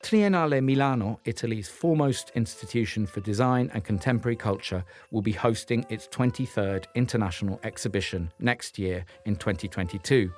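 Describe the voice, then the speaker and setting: A man hosting a podcast, reading scripted narration in a measured way, then interviewing a guest. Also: on the low side, a little mellow, faint music behind. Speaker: podcast host.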